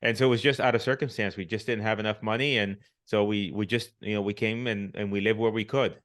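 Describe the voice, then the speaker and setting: A man's voice: lowish voice, steady tone, fast, a bit bright. A middle-aged man from Puerto Rico, a podcast guest, telling his life story in casual, reflective talk with frequent fillers and restarts. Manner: reflective, many restarts and "you know" fillers.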